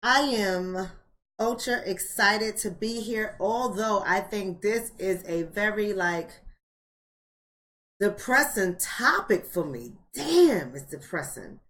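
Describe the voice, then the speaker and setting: A woman's voice: medium pitch, animated, slow, a bit bright. A forty-year-old American woman hosts a casual live online chat in a mid-range voice. She talks directly to her viewers in colloquial language. She often repeats a phrase to drive it home emphatically. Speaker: host of a live online book club.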